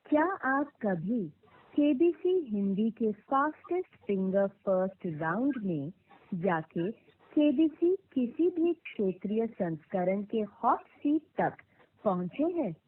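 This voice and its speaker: A woman reading scripted automated phone prompts with formal, clearly enunciated instructions, heard over a narrow phone line. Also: medium pitch. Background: played back from a recorded call.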